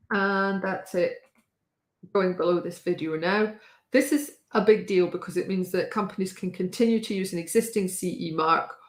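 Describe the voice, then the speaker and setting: A woman with a lowish voice talks in a chatty, wry way, full of fillers and restarts. Her voice is a little hoarse. The room around her sounds echoey. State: slightly hoarse. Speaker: host. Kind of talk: casual live-stream talk.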